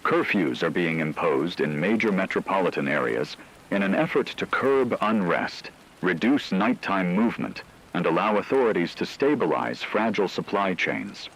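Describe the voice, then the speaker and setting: A man performs a solemn male news anchor in a dystopian radio drama. His voice is deep and husky, and he delivers scripted crisis bulletins in a measured, grave manner. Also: animated, fairly bright tone, heavily acted, rough recording.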